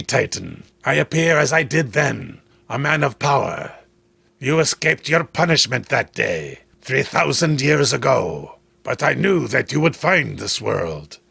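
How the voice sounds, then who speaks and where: Man acting an ancient, gravelly, solemn recluse. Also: mid-range voice, sharp tone, dry recording, pitched below the performer's own voice, heavily acted. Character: ancient righteous alien lord disguised as old swamp recluse.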